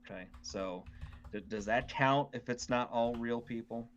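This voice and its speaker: A man's voice: mid-range voice, measured pace, dull audio. A man co-hosting a casual gaming podcast, chatting conversationally. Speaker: podcast co-host.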